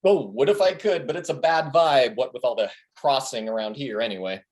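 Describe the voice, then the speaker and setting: A man's voice: mid-range voice, a little fast, lightly acted. A man in a comedy play reading, playing a brash, crude, cocky tour manager who talks in a bossy, jokey, mock-wise way. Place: remote reading over a video call.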